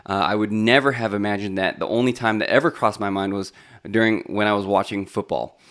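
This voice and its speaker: A man's voice: on the low side, fairly even intonation, a little fast, a bit bright. A man in his thirties from California, talking casually to a vlog camera about his own life, with lots of fillers and restarts. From Los Angeles, California. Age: 30s. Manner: chatty, with frequent fillers and restarts. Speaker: YouTube host.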